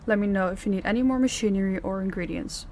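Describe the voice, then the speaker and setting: A woman acting as a composed, authoritative female crime boss in an audio roleplay, speaking in a measured way. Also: medium pitch, a little mellow, slight background noise, natural voice. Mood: businesslike, commanding.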